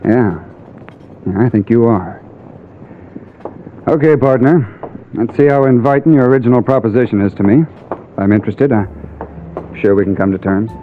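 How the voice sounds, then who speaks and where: A man's voice: mid-range voice, normal speed, pauses often, slight background noise, lightly acted. Man acting sly radio partner, tinny old recording. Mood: breezy, teasing. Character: breezy, sly, money-hungry adult salvage diver partner. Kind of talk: 1950s radio drama acting.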